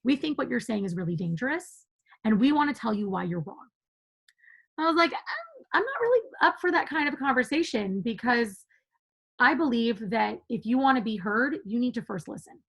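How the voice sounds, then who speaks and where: Husky, gravelly-voiced woman talking passionately. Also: a little high, expressive, a bit bright, rough recording. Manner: passionate, emphatic, quick to laugh. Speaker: podcast guest. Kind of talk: conversational interview.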